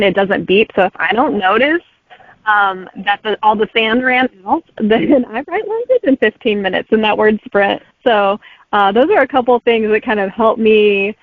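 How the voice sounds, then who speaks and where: Woman author guest, easygoing and laughy, tinny phone sound, no room echo. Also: medium pitch. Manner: relaxed, laughs easily, friendly. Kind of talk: casual interview chat. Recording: dry, tinny phone-like line.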